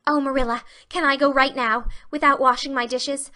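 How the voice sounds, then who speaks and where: A woman voicing a dreamy, excitable, talkative young girl in an audiobook, effusive and earnest with grandiloquent phrasing. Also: a little high, moderate pace. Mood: thrilled. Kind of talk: dramatic audiobook reading.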